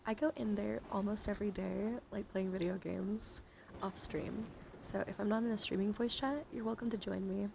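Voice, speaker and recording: Creaky-voiced woman streaming ASMR, noisy background. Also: medium pitch, steady tone, moderate pace. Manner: drifts between hushed whispering and soft speech; playful, giggly, easily sidetracked. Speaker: live streamer hosting an ASMR card stream. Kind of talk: ASMR and casual chat to viewers. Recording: clearly audible background noise.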